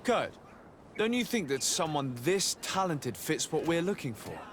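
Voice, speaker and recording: An actor playing a polite, inquisitive noble diplomat in a video game, with formal, probing speech. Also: male voice, a little high, sing-song, unhurried. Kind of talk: video game cutscene acting. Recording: outdoor ambient noise throughout.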